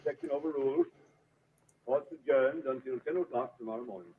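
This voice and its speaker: An actor playing a stern, authoritative judge presiding in court, in 1930s radio drama style. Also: male voice, mid-range voice, huge pitch swings, brisk, creaky. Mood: stern, formal. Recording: very noisy, tinny old radio recording.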